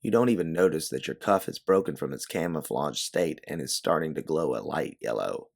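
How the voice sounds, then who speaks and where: A man's voice: very deep voice, animated, fairly quick, vocal fry. A man narrating a scripted story in the second person, as its author and storyteller.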